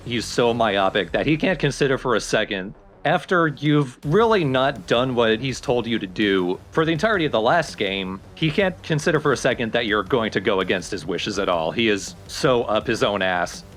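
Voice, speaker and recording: A man's voice: on the low side, a bit bright. A man giving casual, analytical and opinionated commentary on a video game. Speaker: let's play co-commentator. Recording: background music under the voice. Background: game music.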